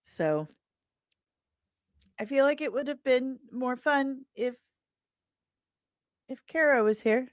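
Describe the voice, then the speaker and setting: A woman's voice: sits high, takes it slowly, pauses often, dry recording. A woman with a playful, theatrical manner, hosting a livestream and reading aloud from her comic script. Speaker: host and comic author.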